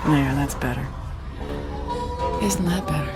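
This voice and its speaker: An actress playing a flamboyant, flirtatious movie-star aunt in a radio drama, gushing and theatrical with teasing endearments. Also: female voice, deep voice, a little slow, music in the background. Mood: teasing, airy.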